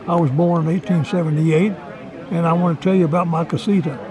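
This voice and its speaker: An older man at an outdoor campground, joking and slowly singing a cowboy tune. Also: fairly high pitch, fairly even intonation, dark tone, poor audio. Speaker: campground guest. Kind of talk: joking self-introduction with singing.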